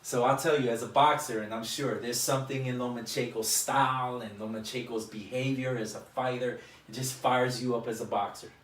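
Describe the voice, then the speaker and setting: A man's voice: mid-range voice, fairly even intonation, a little fast, few pauses, fairly bright tone. A man hosting a casual boxing interview, building his questions up in short, separate phrases. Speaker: boxing show host and interviewer. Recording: echoey room, distant mic.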